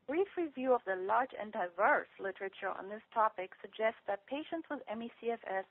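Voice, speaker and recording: Female clinician presenter, mid-pitched, measured scripted delivery of practical guidance, heard down a narrow telephone line. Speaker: clinician speaker presenting to patients. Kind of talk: prepared talk read aloud, giving practical advice. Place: conference call by telephone. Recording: tinny narrow-band telephone conference line.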